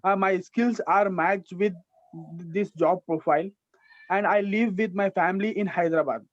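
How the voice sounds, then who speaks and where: A man's voice: high voice, steady tone, normal speed, dry recording, dull audio. A young man in his twenties with an Indian English accent, a student reciting a rehearsed self-introduction with small restarts over a video call.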